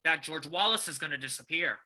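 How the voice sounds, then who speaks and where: A woman's voice: low voice, a little fast, pushed and bright, full character voice. A woman playing a ruthless, scheming male crime boss with curt, bossy Western talk in an old-time radio drama. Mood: menacing.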